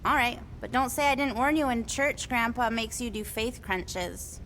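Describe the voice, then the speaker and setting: A woman's voice: on the high side, moderate pace, fairly bright tone, slight background noise, rough recording. A woman voicing a quirky, cheerful alien seer in a video game, whimsical and oddly candid. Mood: playful.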